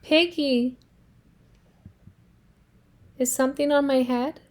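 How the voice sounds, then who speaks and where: A woman's voice: high-pitched, steady tone, slow. A woman voicing an anxious, fretful male elephant in a children's storybook read-aloud, asking worried questions. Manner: worried, questioning, rising alarm.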